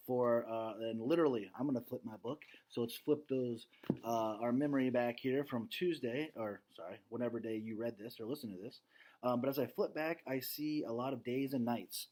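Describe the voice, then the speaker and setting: A man's voice: medium pitch, darkish tone. Casual man teaching a read-aloud lesson. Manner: conversational, checks in with "right?". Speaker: teacher reading aloud to students.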